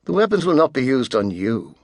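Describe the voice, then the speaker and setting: A man voicing a pale, testy adolescent mastermind in a soft, clipped voice, speaking formally and with authority in an audiobook. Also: mid-range voice, big pitch swings, brisk, vocal fry, no echo, studio quality. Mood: cool, menacing. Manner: clipped, precise, formal speech.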